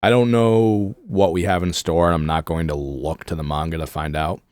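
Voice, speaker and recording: A man co-hosting a casual chat show, his voice going hoarse, reviewing with enthusiasm. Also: deep voice, steady tone, normal speed, a little mellow, no echo, clean studio sound. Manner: enthusiastic, rating and reviewing at length. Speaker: podcast co-host.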